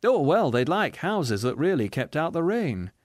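A shrewd, furtive man from a storybook, acted by a male reader. He mutters confidingly and anxiously, his pitch moving freely. The sound is close and dry. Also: very animated, normal speed, dry recording. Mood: furtive, uneasy.

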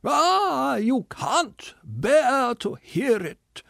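A man voicing a bitter, self-pitying male ghost who declaims and shouts his lines in a drawn-out, theatrical way. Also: fairly high pitch, big pitch swings, no room sound, studio quality, voice pushed up, heavily acted. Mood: shouting. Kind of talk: audiobook character reading.

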